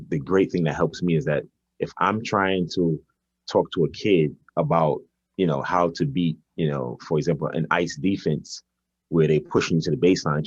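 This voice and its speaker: A man's voice: very deep voice, darkish tone, slightly rough, no echo, muffled recording. A man, a basketball coach answering interview questions conversationally, hesitating and repeating words as he thinks. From Brooklyn, New York, United States. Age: 40s.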